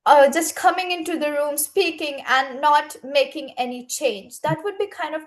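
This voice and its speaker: A woman's voice: high voice, normal speed. A young woman speaking as a youth panelist at a summit, giving impassioned, earnest and emphatic remarks. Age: young adult.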